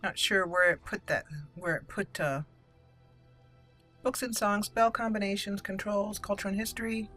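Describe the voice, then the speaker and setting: A woman's voice: mid-range voice, normal speed. A woman giving casual let's play commentary as the channel's host, chatty and thinking aloud. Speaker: host of a game roleplay channel. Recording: voice over soft game music. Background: game music.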